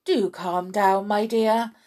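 A woman voicing a sensible, exasperated mother who gently scolds in a children's story. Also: mid-range voice, fairly even intonation, slow, natural voice. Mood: chiding. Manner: chiding, exasperated.

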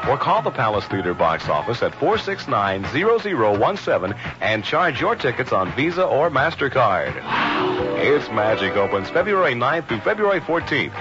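A male announcer reads a radio ad, upbeat and sing-song, with a voice that rises and falls freely. Music plays clearly behind him on a dull old recording. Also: fairly low pitch. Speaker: commercial announcer. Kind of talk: radio advertisement.

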